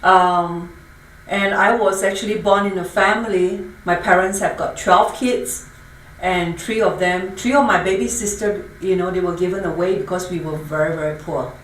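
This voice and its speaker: Guest spot: older woman from Singapore, lower voice, earnest storyteller, distant mic. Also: fairly even intonation, normal speed, reverberant, slight background noise. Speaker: business owner guest. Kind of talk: interview.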